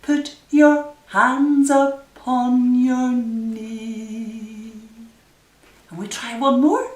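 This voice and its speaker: A woman's voice: on the high side, steady tone, very slow. A woman leading a nursery rhyme singalong for small children, giving simple instructions and encouragement. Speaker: library story time leader. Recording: echoey room, distant microphone.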